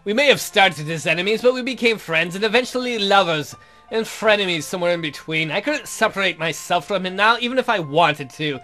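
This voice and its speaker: Lovesick young heroine voiced by a man, high pitch, pining and melodramatic, visual novel narration over faint music. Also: expressive, a little fast, bright, forceful tone. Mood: wistful, devoted. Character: lovesick, miserable young woman narrating her romance.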